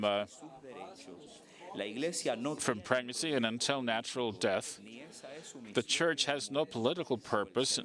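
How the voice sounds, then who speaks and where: A man giving a simultaneous interpretation of a conference speech, halting often with fillers and restarts. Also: medium pitch, a bit bright.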